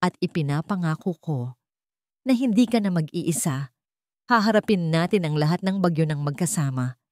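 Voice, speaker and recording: A woman's voice: on the low side, lively intonation, normal speed, no echo, studio quality, close to an everyday voice. A woman voicing a tender, forgiving bride and mother in a romance drama, earnest and heartfelt.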